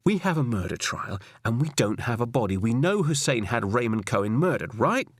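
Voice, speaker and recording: Man playing a defiant detective, animated pitch. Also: fairly quick, no room sound, studio quality. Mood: defensive. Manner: insistent, argumentative. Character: defiant, stubborn male police detective arguing his case. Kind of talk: audiobook character dialogue.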